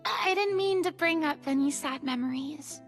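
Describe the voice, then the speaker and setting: An actress voicing a small, excitable female companion who talks in an expressive, fretful, exclamatory way. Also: high voice, moderate pace, soft background music. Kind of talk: game voice acting.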